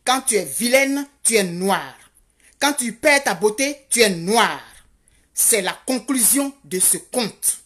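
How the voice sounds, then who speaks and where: Husky woman, slow and fervent. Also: fairly high pitch, lively intonation, a bit bright. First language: Godié. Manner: rhetorical questions, insistent repetition, rising to fervent. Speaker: commentator. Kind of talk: impassioned social commentary monologue. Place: Canada.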